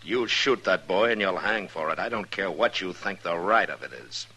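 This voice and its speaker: A man's voice: low voice, lively intonation, normal speed, sharp tone, heavily acted. A man playing a stern, principled marshal in an old-time radio western, speaking in a firm, plain-spoken, commanding way. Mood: stern warning. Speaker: lead actor in radio western. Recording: old radio recording with noise.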